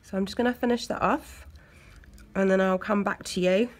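A woman with an English accent, hoarse from a cold, chatting casually as she talks through a nail art tutorial. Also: medium pitch, fairly even intonation, normal speed. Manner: chatty, loose, lots of fillers. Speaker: nail technician. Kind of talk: step-by-step tutorial narration.

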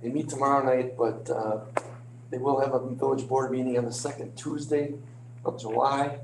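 A man with a slightly crackly voice, briefing a meeting informally as an official, halting and hedging as he goes. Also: mid-range voice, fairly even intonation. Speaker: village official presenting to a board. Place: indoor village board meeting room. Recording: echoey meeting room, clear hum.

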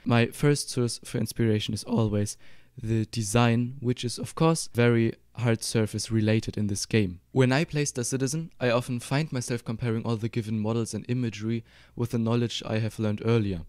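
German-accented man teaching Blender, crisp close voice. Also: medium pitch, darkish tone, dry recording. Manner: upbeat, explanatory, slows down for instructions. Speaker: Blender tutorial YouTuber. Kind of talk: screen-recorded tutorial voice-over.

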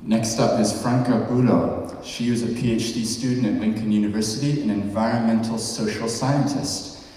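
A man's voice: fairly low pitch, steady tone, moderate pace, warm tone. A man in his forties from New Zealand, giving a conversational conference talk and asking the audience questions. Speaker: podcast host giving a talk. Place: large hall with a stage. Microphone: handheld mic, heard from far off. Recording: echoey hall from far off.

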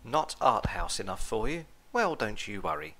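A man with a fairly low voice delivers scripted review narration. His manner is sardonic, as he presents a comic commentary. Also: very animated, normal speed, pushed and bright, rough recording. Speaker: video reviewer.

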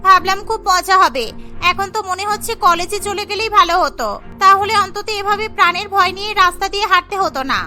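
A cheeky college girl, voiced by a woman with a very high, sharp, bright voice. She sounds whiny and outspoken in a cartoon drama, over music. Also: quick pace, heavily acted. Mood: scared, grumbling.

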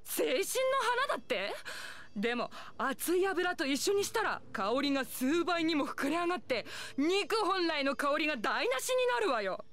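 A woman playing a proud, feisty village meat chef in an anime-style game, brisk and self-assured. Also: high-pitched, big pitch swings, fairly bright tone. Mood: disapproving.

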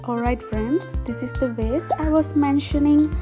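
A woman in her thirties talks through a home craft project in a friendly, chatty way, pausing on filler words. Her voice sits a little high. Clear background music runs under the whole recording. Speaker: DIY vlogger. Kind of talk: casual vlog narration. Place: home, indoors, with a toddler on her lap. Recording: music playing behind her voice.

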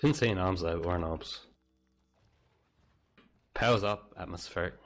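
A man's voice: low voice, a little slow, soft background music. A man giving terse, clipped callouts to teammates over game voice chat. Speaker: gamer on a team. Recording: noisy voice chat, gunfire and typing. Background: game gunfire, keyboard typing.

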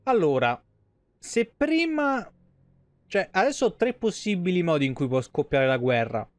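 High-pitched man streaming, dry close sound. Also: very animated, measured pace, no room sound. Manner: foul-mouthed, joking, rambling. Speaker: game streamer. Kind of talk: casual live gameplay commentary. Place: small indoor streaming room at home. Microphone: close streaming microphone.

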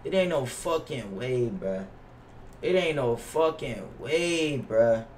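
Young male streamer, casual and complaining with lots of swearing; middle-pitched, roomy distant mic with background noise. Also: expressive, slow, a little mellow. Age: young adult. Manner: slangy, profane, complaining. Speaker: livestreamer playing a basketball video game. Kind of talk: casual live stream chat and gameplay reaction. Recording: roomy echo, off-mic, noisy with keyboard clicks.

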